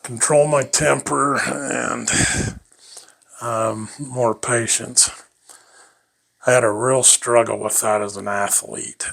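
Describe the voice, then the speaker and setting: A man's voice: medium pitch, steady tone, slow, vocal fry, pauses often, a bit bright. An older man reminiscing about his life in an interview, rambling and folksy, chuckling often.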